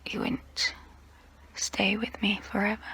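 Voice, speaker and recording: Woman acting as centuries-old female vampire, breathy whisper, unhurried pace, intimate ASMR roleplay, guilty, wistful, tempting. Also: medium pitch, flat delivery, bright, forceful tone, full character voice, poor audio. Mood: melancholy. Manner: slow, intimate, deliberate delivery.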